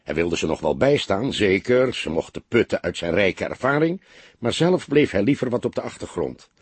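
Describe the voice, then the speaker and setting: A man narrating a crime novel as an audiobook, in expressive scripted storytelling. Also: lowish voice, moderate pace, breathy edge, no room sound.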